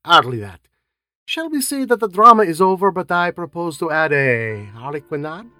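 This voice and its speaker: A man's voice: a little high, sing-song, normal speed, pushed and bright, no room sound, clean studio sound, voice pushed up, heavily acted. A man voicing a fussy, dapper middle-aged male detective who speaks precisely and politely with French touches. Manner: precise, polite, faintly French-flavoured phrasing.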